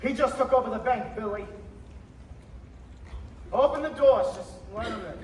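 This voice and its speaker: An echoey hall carries a very high-pitched teen actor as a young male loan boss. He is earnest and urgent, pleading emphatically in radio-play style. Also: moderate pace, a crowd in the background. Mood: defiant. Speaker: high school stage actor. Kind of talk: theatrical radio play acting. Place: school theater stage. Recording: echoey hall from far off, noisy.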